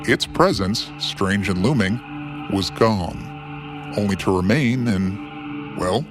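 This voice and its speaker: A man narrating a scripted story theatrically, with ornate phrasing and dramatic, drawn-out pauses. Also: fairly low pitch. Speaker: storyteller and host. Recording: clear music bed behind narration. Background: music.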